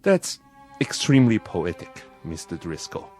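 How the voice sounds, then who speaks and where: Low-voiced, urbane Japanese police chief. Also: male voice, very animated, a little slow, darkish tone. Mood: urbane, dismissive. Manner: formal, eloquent, condescending. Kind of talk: vintage television drama acting. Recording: old TV soundtrack with music.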